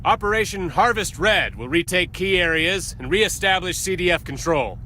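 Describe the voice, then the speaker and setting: An actor playing a stern military captain in a video game, giving a formal, authoritative briefing with clipped delivery. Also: male voice, high-pitched, expressive, normal speed, bright, forceful tone, a little hiss or hum. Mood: stern, commanding.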